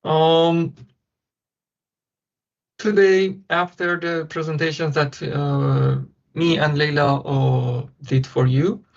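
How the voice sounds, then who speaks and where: A man hosting a webinar, introducing events and speakers haltingly with many filler sounds over a video call. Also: on the high side, steady tone, creaky. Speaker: webinar host. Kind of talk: hesitant event moderation. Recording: dull lo-fi web call audio.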